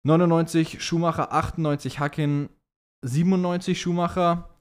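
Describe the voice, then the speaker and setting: A man's voice: fairly high pitch, fairly even intonation, fairly quick, smooth. A young man co-hosting a podcast, chatting casually in slangy, teasing banter. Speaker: podcast co-host.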